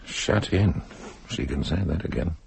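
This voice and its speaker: An actor playing a wry, educated husband in an audio drama, with a deep, gravelly voice and a dry, sardonic delivery. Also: male voice, animated, a little slow, noisy background, exaggerated voice. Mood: uneasy.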